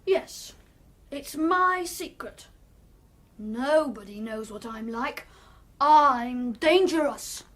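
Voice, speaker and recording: A man's voice: very high-pitched, lively intonation, drawn-out words, fairly bright tone, exaggerated voice. A man playing a childlike, boastful and dangerous boy in a radio play, giggly and taunting, repeating himself.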